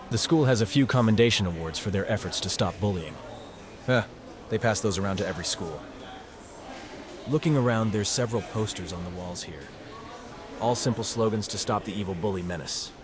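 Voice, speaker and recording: A man playing a cynical, self-deprecating high school student, narrating a visual novel in sardonic, muttering asides. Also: lightly acted. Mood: dry, sardonic. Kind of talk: first-person scripted narration, visual novel dub.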